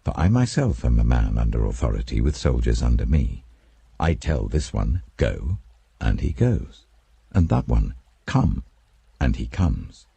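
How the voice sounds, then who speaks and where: A man's voice: very deep voice, big pitch swings, measured pace, creaky, dark tone, slightly rough, dry recording, voice pushed down, full character voice. A British man voicing a humble, earnest Roman centurion in a scripture audiobook, respectful yet firm.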